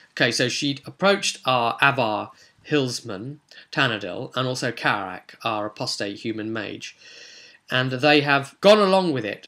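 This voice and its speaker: A man with a bright, clear-cut edge to his mid-pitched voice hosts as a game master. He recounts a tabletop roleplay session conversationally, like someone talking a viewer through a story. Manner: conversational storytelling, acting out characters' remarks.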